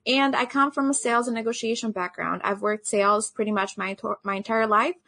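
A woman's voice: a little high, fairly even intonation, fairly quick, pushed and bright, dry recording. A young woman from Albania, speaking, gives an earnest online conference presentation as a podcast host, often saying "actually" and "you know.".

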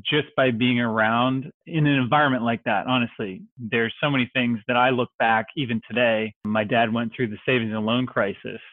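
A man from Texas speaking as a real estate investor guest on a podcast, relaxed and joking. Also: mid-range voice, moderate pace, few pauses, no echo, narrow, tinny audio. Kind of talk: podcast interview.

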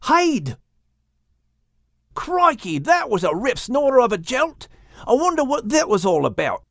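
A woman's voice: high voice, big pitch swings, measured pace, no room sound, clean studio sound, voice pushed up, exaggerated voice. A woman voicing a cheerful, blustery male kangaroo with an Australian accent in an audiobook. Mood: startled.

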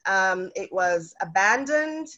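A woman's voice: mid-range voice, expressive, slow, sharp tone, lo-fi sound. Woman from South Africa. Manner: chatty, frequent fillers and restarts. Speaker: guest real estate investor. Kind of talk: casual interview.

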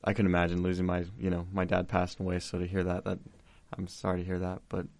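A man's voice: low-pitched, completely flat delivery, fast, vocal fry, a little mellow. A young man hosting a podcast, talking in a supportive way with many false starts. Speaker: podcast host. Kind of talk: casual conversation.

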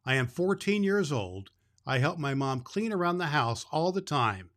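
A man's voice: fairly high pitch, big pitch swings, moderate pace, a bit bright. A man playing a kindly old Santa Claus who hosts a children's Christmas show, speaking cheerfully and emphatically in a folksy, fatherly way. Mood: cheerful, informing. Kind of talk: storytelling and chat addressed to children.

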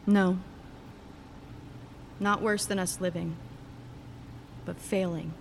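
An actress playing a brisk, dry, determined engineer in a sci-fi audio drama. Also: female voice, fairly low pitch, fairly even intonation, gravelly. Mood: grim resolve. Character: no-nonsense, determined woman engineer on science team. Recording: faint background noise.

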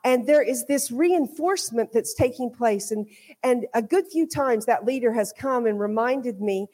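A woman's voice: on the high side, expressive, normal speed, warm tone. A woman from Oklahoma with an American accent, giving an informal sermon as a visiting preacher, conversational and rolling through repeated phrases. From Oklahoma, United States. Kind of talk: informal sermon with personal testimony. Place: speaking to a congregation at a church.

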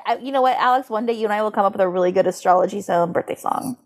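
A woman's voice: mid-range voice, racing. A woman co-hosting a podcast, chatting casually and playfully in loose comedic riffs. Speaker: podcast co-host. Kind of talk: casual comedic chat about horoscopes.

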